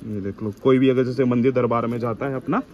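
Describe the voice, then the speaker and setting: Fast-talking male market vlogger, noisy background. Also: medium pitch, steady tone, darkish tone, highs cut off. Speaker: vlog host touring a wholesale shop. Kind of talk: casual shop-tour chat to viewers. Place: busy open-fronted market shop. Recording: clear street and market background noise.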